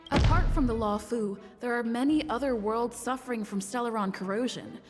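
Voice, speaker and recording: A woman's voice: fairly high pitch, fairly quick, natural voice. A woman playing a thoughtful, earnest envoy in a game cutscene, explaining things carefully and articulately. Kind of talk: scripted game cutscene acting. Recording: background music.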